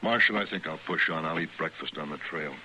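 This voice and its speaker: An actor playing a calm, quietly dangerous ex-captain in an old radio western, formal and measured. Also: male voice, rumbling low, heavily acted, low-quality recording. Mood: calm, reserved. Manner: formal, measured, clipped, unflappable. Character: calm, dignified former army captain, quietly dangerous man. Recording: faintly hissy old radio recording.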